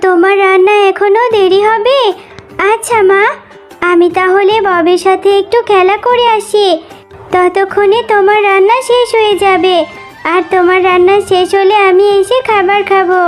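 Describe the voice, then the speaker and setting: A woman's voice: extremely high pitch, steady tone, moderate pace. A woman voicing a sweet, eager, earnest little girl in a children's cartoon. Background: music.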